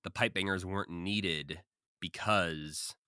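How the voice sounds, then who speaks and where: A man's voice: sits low, takes it slowly, bright, forceful tone, clear, resonant tone, no room sound, clean studio sound. A man co-hosting a podcast, casually recapping and commenting with a wry, joking manner. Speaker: podcast co-host.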